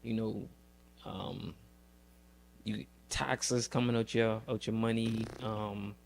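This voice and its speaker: A man hosting a casual interview, halting and repeating words as he works out his questions. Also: fairly low pitch, little pitch movement, gravelly, slight background noise, poor audio. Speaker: podcast host.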